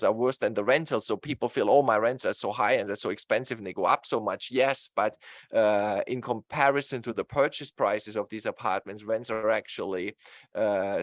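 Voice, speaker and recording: A man's voice: mid-range voice, a bit bright, no echo. German-accented man, dry explainer. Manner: talkative, explanatory, many fillers. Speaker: guest real estate expert. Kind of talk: explaining slides in an interview.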